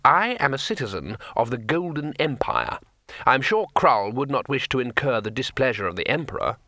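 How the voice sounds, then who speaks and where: A man's voice: mid-range voice, big pitch swings, normal speed, pushed and bright, no echo, clean studio sound, full character voice. A man voicing a naive, earnest and curious male tourist in an audiobook story.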